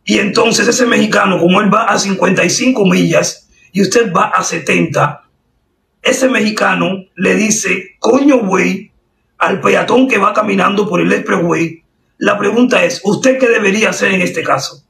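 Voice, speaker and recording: Casting: Cuban woman, gravelly, straight-faced prank caller acting official, recorded in a roomy space. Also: mid-range voice, normal speed. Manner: deadpan, official-sounding. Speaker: prank caller posing as driving examiner. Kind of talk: prank phone call, improvised quizzing. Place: indoors at a desk. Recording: roomy, distant sound with faint noise.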